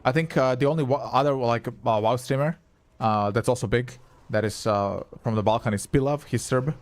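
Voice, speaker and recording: A man's voice: medium pitch, moderate pace. A young man whose first language is Croatian, chatting casually with viewers and swearing now and then while he plays on a live stream. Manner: chatty, reads and answers chat, swears casually. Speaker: game streamer.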